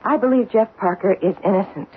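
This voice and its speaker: An actress playing an earnest, determined American detective's wife in a radio drama, questioning and insistent. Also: female voice, mid-range voice, a little fast, husky, natural voice. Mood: earnest, confessing. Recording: dull lo-fi 1970s radio recording.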